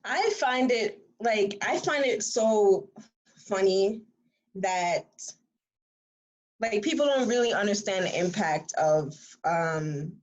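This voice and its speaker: A woman's voice: mid-range voice, slow, rough recording. Woman chatting casually about books. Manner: animated, acts out imagined reactions in slangy conversational speech. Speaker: author and reader on a book discussion panel. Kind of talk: casual book discussion.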